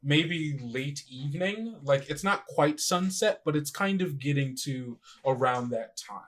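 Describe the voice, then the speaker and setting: A man with a voice on the higher side runs a tabletop game as game master. He narrates off the cuff in a halting, conversational way, feeling out each description as he goes. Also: expressive, fairly bright tone.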